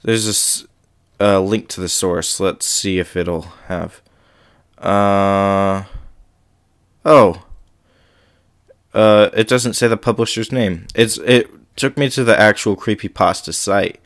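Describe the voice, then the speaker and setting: A man's voice: lowish voice, slow pace, long pauses. A male podcast host with a slight cold, chatting casually with frequent ums and then reading a scary story aloud.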